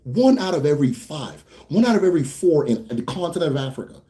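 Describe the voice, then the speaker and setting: A young American man hosting a casual Q&A for viewers. He talks bluntly, swears freely, rambles a bit, then slows down to explain. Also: on the high side, sing-song, mellow. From United States. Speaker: host answering viewer questions. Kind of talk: casual livestream Q&A.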